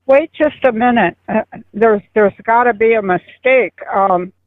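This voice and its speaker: A woman in her eighties on a phone call, protesting in a flustered, halting way. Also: a little high, no echo. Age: 80s. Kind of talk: unscripted phone conversation. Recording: tinny phone line.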